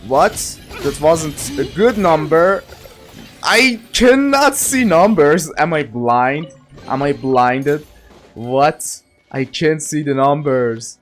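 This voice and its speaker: A man's voice: on the high side, expressive, slow pace, soft background music. A man playing a video game and thinking aloud in casual commentary, self-mocking and given to exclamations and muttering. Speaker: gaming streamer, player.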